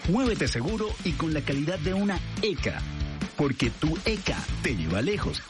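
A man's voice: a little high, big pitch swings, moderate pace. A man voicing a radio commercial with an upbeat selling delivery. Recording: guitar music bed.

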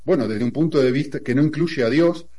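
A man's voice: fairly high pitch, a little fast, mellow. Argentine man explaining with rhetorical questions. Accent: Argentine Spanish. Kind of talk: conversational teaching.

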